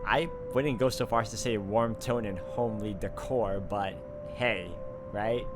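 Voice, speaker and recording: A man's voice: lowish voice, measured pace. A man playing and reading a horror visual novel aloud, mixing dramatic narration with casual asides as a let's player. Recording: loud music behind the voice.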